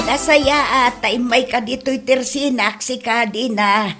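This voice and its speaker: A woman's voice: on the high side, steady tone, measured pace, music in the background, close to an everyday voice. A woman playing a nosy gossiping villager in an Ilocano comedy drama, chatty and exclaiming, with an Ilocano accent. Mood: prying.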